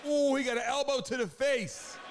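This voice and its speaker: A male live stream host with a strikingly high voice. His talk is casual and slangy, aimed straight at the viewers in his chat as he follows a game. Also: normal speed, background noise.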